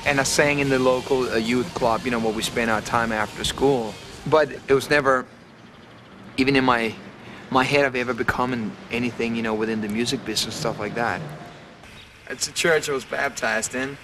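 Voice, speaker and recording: Young man, rock singer interview, loose rambling talk, mid-pitched voice. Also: moderate pace, over music. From Denmark. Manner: relaxed, rambling with fillers and restarts. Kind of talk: casual interview, reminiscing.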